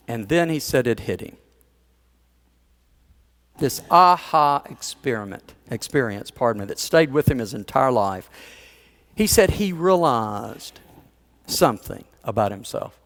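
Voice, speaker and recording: A male pastor delivering a sermon in a conversational storytelling manner, with chuckles and playful asides to the congregation. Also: a little high.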